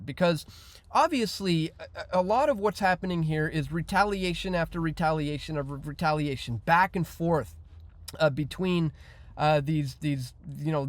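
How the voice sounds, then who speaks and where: A man's voice: high voice, no room sound. A man hosting an online news show, giving opinionated commentary in a conversational, emphatic way that repeats phrases.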